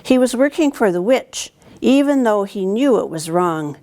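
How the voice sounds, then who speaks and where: An older woman narrates a storybook for young students. Her voice sits at a middle pitch. She reads expressively and deliberately, as a visiting storyteller. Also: expressive, warm tone. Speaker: town councillor reading to students. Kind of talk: read-aloud storybook narration. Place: indoors, library corner with bookshelves.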